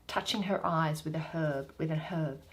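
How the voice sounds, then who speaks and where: A woman's voice: sits low, moderate pace, low-quality recording. A woman giving a dramatic scripted reading of a Shakespeare play, stage directions included.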